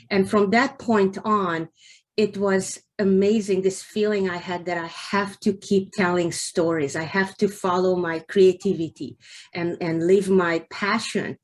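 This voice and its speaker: A woman's voice: medium pitch, steady tone, measured pace. A woman whose first language is Hungarian, answering interview questions about her writing earnestly, with small restarts. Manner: earnest, with restarts and light laughs. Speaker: guest author.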